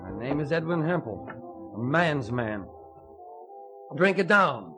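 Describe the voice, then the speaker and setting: Maudlin barroom drunk, high whiny pitch, wildly lilting, declamatory radio acting, music clearly behind. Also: male voice, very animated, slow pace. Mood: maudlin, adoring. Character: drunken, adoring, foolish man, whiny and boastful. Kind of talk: old-time radio drama acting. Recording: vintage radio drama recording.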